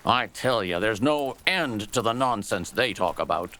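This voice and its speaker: A man voicing a gruff, scornful old Japanese soldier in a storybook tale, with emphatic, contemptuous delivery. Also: lowish voice, lively intonation, pushed and bright. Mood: vehement contempt. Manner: emphatic, contemptuous, drawn-out key words. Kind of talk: storybook character dialogue.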